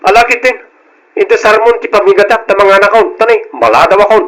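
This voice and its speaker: High-voiced, brusque radio husband. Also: male voice, lively intonation, quick pace, a bit bright, poor audio. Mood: brusque, defensive. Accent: Ilocano. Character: careless, hurried young husband, defensive, dismissive of his wife. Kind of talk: old-time Ilocano radio drama acting.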